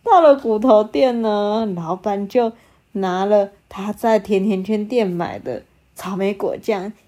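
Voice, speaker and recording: A woman improvising a bedtime story with a child, animated and prompting with questions. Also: medium pitch, measured pace. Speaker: storyteller, podcast host.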